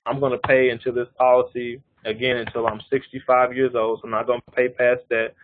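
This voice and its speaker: Thirties male presenter, mid-pitched, patient step-by-step explainer, conference-call phone sound. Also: little pitch movement, a little fast, slight background noise. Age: 30s. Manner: explains step by step, often checking in with "okay". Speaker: life insurance presenter. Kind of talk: live conference-call walkthrough. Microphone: phone or call line. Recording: narrow phone-line audio.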